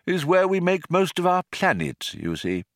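A man acts out an elderly alien craftsman from an audiobook, genial and a little vague. His pitch swings widely as he explains things with mild, courteous understatement, recorded close and dry. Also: big pitch swings, moderate pace, dry recording. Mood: welcoming. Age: older. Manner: polite, mild, cheerfully understated. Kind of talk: audiobook character voice.

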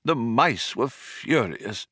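A man's voice: on the high side, huge pitch swings, unhurried, a bit bright, no room sound, clean studio sound, pitched above the performer's own voice, exaggerated voice. A man voicing an elderly alien planet builder in an audiobook, genial, polite, mildly vague and cheerfully understated. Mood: mildly put out. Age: older. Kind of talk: audiobook character voice.

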